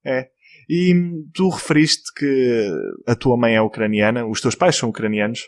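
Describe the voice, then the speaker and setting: A young man from France with a European Portuguese accent, hosting a casual podcast chat with a relaxed, chatty manner. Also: medium pitch, normal speed. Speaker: podcast host.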